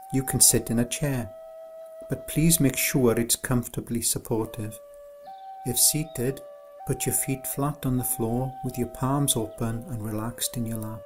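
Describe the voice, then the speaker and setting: A man narrating a scripted guided meditation in a soothing, unhurried, gentle way, as an instructor. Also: medium pitch.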